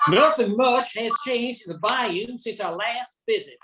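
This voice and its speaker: A woman's voice: a little high, a little slow, loud music behind the voice, natural voice. An older woman playing a folksy narrator of bayou tales in a scripted radio drama. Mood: storytelling.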